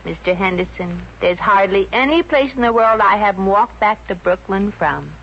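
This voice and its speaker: A woman's voice: medium pitch, animated, normal speed, natural voice. An actress playing a wry, slangy, good-hearted young American showgirl secretary in a 1950s radio comedy. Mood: wry, breezy. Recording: muffled, noisy 1950s radio recording.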